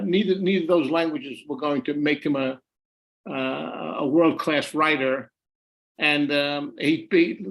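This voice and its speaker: Older man, halting and restarting. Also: on the high side, creaky, fairly bright tone, rough recording. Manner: halting, many restarts and repeated words. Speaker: author and former journalist, guest. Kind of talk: conversational interview answers. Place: home room. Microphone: video call.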